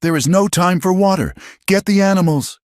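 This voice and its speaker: A man's voice: medium pitch, moderate pace, vocal fry, breathy edge, dry recording, studio quality, pitched above the performer's own voice. A man voicing a huge, lonely and fiercely strong young woman with a raw, pleading tone. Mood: commanding. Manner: raw, pleading then shouting.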